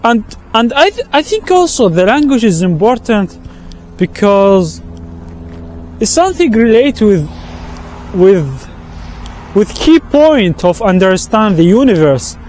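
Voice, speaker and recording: Slow, halting man with an Arabic accent. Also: high-pitched, very animated, darkish tone, slight background noise. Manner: halting, many restarts and repeated words. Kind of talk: walking-and-talking vlog monologue. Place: outdoors on a street with passing traffic.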